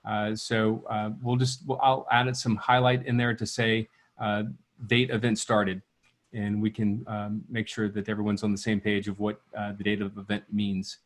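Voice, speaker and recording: Halting man relaying questions online. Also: on the low side, flat delivery. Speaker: meeting moderator relaying questions. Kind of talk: unscripted question-and-answer talk. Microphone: video call.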